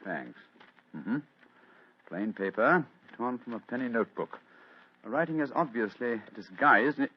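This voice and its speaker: A man's voice: medium pitch, expressive, moderate pace, long pauses, husky. An actor voicing a brilliant, wry detective in a 1940s radio play, dry and theatrical in his delivery. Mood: intent. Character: brilliant, wry male detective, sharp and amused. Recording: dull lo-fi old radio recording.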